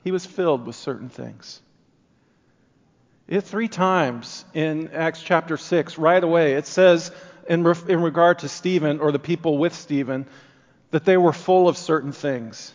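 Earnest preacher in a reverberant church. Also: male voice, on the high side, a little slow, muffled recording. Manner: earnest, rising and falling emphasis. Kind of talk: sermon.

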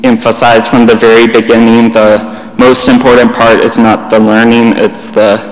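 A young man teaching in a church hall, his voice flat and monotone with little rise or fall. He talks plainly and unhurriedly, pausing and restarting as he goes. His words ring out with a long hall reverb behind them. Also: medium pitch. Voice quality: monotone delivery. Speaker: church speaker.

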